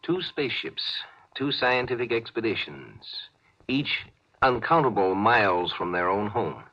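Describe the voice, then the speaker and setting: A man narrating a 1950s radio science fiction tale in a portentous, measured manner. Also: on the low side, lively intonation. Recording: dull, faintly noisy old radio recording.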